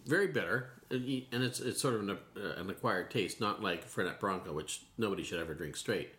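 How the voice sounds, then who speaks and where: A man's voice: deep voice, fairly quick, pushed and bright. A man, a podcast co-host making wry, casual tasting commentary. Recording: faint background noise.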